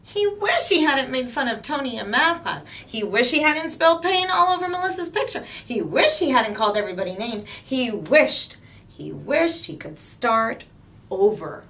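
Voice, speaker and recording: A woman narrating a children's picture book expressively, with dramatic pauses and emphasis. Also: high voice, sing-song, fairly bright tone. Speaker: storyteller.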